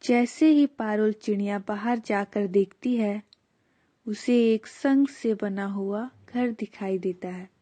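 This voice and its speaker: A woman's voice: mid-range voice, takes it slowly, dark tone. A woman reading a scripted children's story as the narrator.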